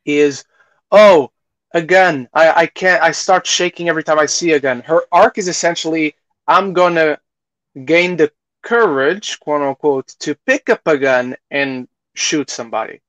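Man, fairly high voice, podcast host, casual review talk, halting repeated phrases, dry close recording. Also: fairly bright tone, no echo, rough recording. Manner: halting, repeats phrases, acts out imagined reactions.

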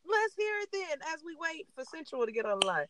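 A woman co-hosting a podcast, talking in a playful, slangy, animated way in casual chat. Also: very high voice, fairly quick, dry recording. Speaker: podcast co-host.

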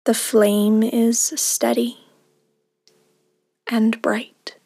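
A woman leads a guided meditation in a fairly high but very even voice, soft and soothing. Her phrases are short and drift into creak and breath at the ends, with long pauses between them. The recording is close and dry. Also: dry recording.

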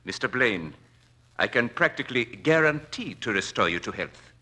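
An actor playing a formal, persuasive and domineering doctor of doubtful honesty in an old radio drama. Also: male voice, medium pitch, very animated, pushed and bright, heavily acted. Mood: courteous, assured. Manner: formal, measured, persuasive, commanding. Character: smooth, domineering doctor, suspected charlatan. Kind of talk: 1940s radio acting. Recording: vintage radio drama recording.

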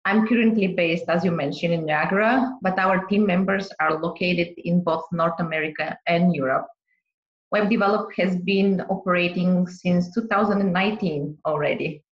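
A woman's voice: lowish voice, normal speed, reverberant, dull audio. A woman from Bulgaria, a business owner, answering podcast interview questions carefully, with light laughs.

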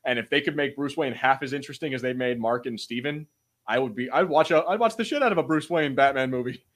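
A man's voice: medium pitch, fairly bright tone. Casual man co-hosting an informal podcast. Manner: informal, sweary, halting. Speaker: podcast co-host. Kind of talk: casual chat, reads out viewer comments.

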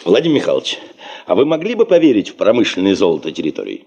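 Deep-voiced, self-assured expedition boss. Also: male voice, wildly swooping pitch, racing, gravelly, darkish tone, breathy edge, full character voice. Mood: probing, ironic. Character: self-assured, probing middle-aged male expedition boss, hungry for gold. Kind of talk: Soviet radio drama acting.

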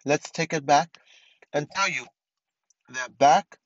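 A man's voice: a little high, takes it slowly, no room sound, muffled recording. A man in his twenties hosting a casual solo podcast, rambling with many restarts and fillers. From East Los Angeles. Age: 20s. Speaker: podcast host.

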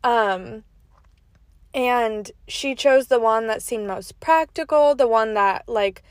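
A young woman with a slightly high, stuffy, nasal voice from a cold rambles through a casual story, sprinkling in "like" and little laughs. She records alone in her car, and the sound is close and dry with no room echo. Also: takes it slowly, clean tone. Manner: rambling, full of "like", laughs between asides. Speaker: podcast host. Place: inside a parked car.

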